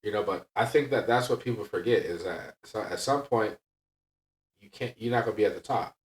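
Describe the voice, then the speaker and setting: A man's voice: on the low side, fairly quick. A man talking candidly and casually as a podcast host and coach, emphatic and blunt, often checking in with "right?". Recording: echoey room, distant from mic.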